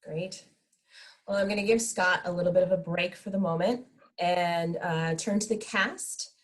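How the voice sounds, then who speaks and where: A woman's voice: on the low side, fairly even intonation, normal speed. A woman hosting an online question-and-answer discussion as its moderator. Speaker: moderator of a theatre talkback. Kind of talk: hosted panel discussion over video call.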